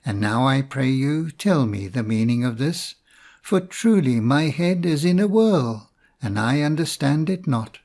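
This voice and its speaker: A man's voice: medium pitch, expressive, measured pace, gravelly, darkish tone. A man voicing a humble, bewildered merchant made king in a storybook, with earnest, formal, archaic speech. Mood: earnest, puzzled.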